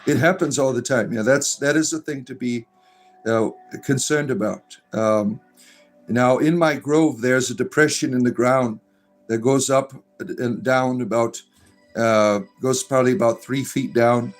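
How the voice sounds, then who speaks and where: A middle-aged man from Florida chats with his viewers, greeting them one by one. His pitch sits in the middle of the range, and his talk wanders, slows and restarts. Faint music plays behind him. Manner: rambling, full of fillers and restarts, drawn-out narrator delivery. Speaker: livestream host. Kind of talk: casual livestream chat reading viewer comments.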